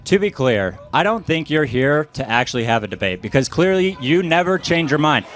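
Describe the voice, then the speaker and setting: A man's voice: fairly high pitch, very animated, a little fast, a bit bright, faint music behind. A young American man arguing politics live, blunt and combative, cutting in as he speaks. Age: young adult. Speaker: activist challenger from the audience.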